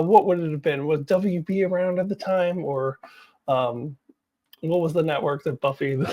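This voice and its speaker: A woman's voice: sits low, a little mellow. A woman hosting a vlog, giving casual opinion commentary in a chatty way, thinking aloud as she goes. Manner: chatty, thinking aloud, laughs through remarks. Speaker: host of a fan commentary vlog.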